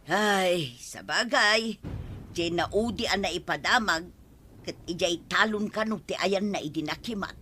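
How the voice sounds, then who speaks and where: A woman's voice: fairly low pitch, measured pace, pushed and bright, a little hiss or hum. A woman playing a chatty, anxious villager in an Ilocano radio comedy drama. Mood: worried.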